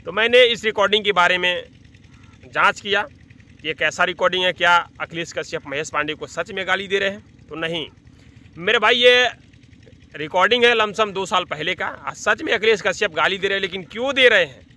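A man's voice: high-pitched, fairly quick, bright, forceful tone. A man, giving emphatic casual commentary to camera, repeating his phrases, outdoors into a phone at arm's length. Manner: emphatic, repeats phrases, addresses listeners as brother. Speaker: vlogger. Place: open-sided thatched hut by farm fields, outdoors.

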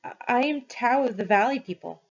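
A woman's voice: a little high, animated, fairly quick, dull audio, pitched above the performer's own voice. A woman voicing an earnest, stubborn prehistoric boy in a storybook, pleading and insistent. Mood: nervous.

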